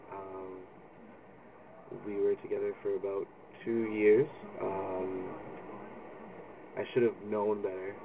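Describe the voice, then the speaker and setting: A young man, talking candidly and rambling with lots of ums in a personal video blog. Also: on the low side. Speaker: video blogger. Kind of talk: personal vlog monologue. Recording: distant lo-fi phone-like audio with loud background noise.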